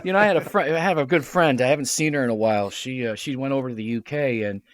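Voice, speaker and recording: A man's voice: mid-range voice, quick pace, no echo. A man guesting on a podcast, telling a jokey anecdote. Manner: jokey storyteller. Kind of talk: casual chat, telling an anecdote.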